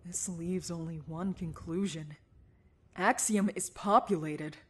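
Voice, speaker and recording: A woman's voice: on the low side, slow pace, breathy edge. A woman playing an eager, curious scientist. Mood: analytical. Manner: analytical, excitable. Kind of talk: fan audio drama acting.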